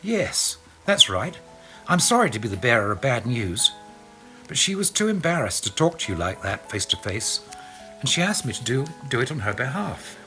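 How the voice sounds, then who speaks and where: A man's voice: medium pitch, big pitch swings, moderate pace, sharp tone, soft background music, poor audio. A man voicing an awkward, apologetic Australian woman friend who stammers and hesitates as she delivers bad news. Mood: flustered. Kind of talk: audiobook character voice.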